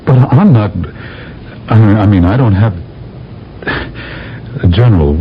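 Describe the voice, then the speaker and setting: An actor playing a reluctant young space captain, who also narrates, in a 1970s radio drama. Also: male voice, fairly low pitch, animated, measured pace, a little hiss or hum. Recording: dull old radio recording with music.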